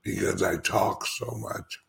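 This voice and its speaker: Male crypto commentator, raspy and gravelly, very slow and emphatic delivery, informal solo address. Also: low voice, steady tone, a bit bright, a little hiss or hum. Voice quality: speech impediment. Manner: emphatic, repeats phrases with dramatic pauses. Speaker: cryptocurrency commentator and show host. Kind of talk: informal monologue commentary to followers.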